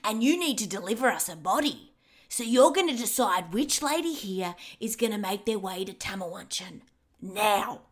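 An actor playing a menacing gangster who holds women hostage, taunting them in a cold, threatening way. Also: male voice, high-pitched, lively intonation, moderate pace.

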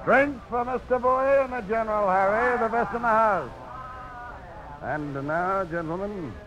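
A male actor voices a hearty, sly owner of a low dive. He pitches his voice high like a folksy salesman. The old radio drama recording has slight noise. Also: moderate pace, muffled recording, lightly acted. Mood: hearty, welcoming. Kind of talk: 1950s radio drama acting. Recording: noisy old radio broadcast.

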